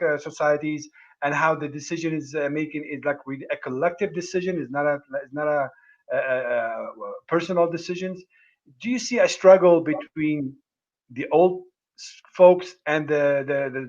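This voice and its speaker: A man hosting a livestream conversation, talking haltingly with many restarts as he searches for words. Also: on the high side, dull audio. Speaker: host.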